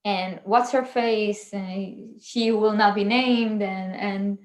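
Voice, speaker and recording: A woman's voice: medium pitch, steady tone, slow, echoey room. A woman hosting a casual livestream chat, rambling a little with fillers and restarts. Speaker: channel host.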